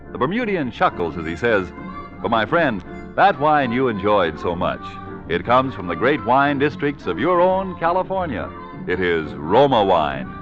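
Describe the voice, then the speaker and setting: A man's voice: medium pitch, fairly bright tone. A man announcing a sponsor's message in polished, emphatic 1940s radio advertising style. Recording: dull vintage 1940s radio recording.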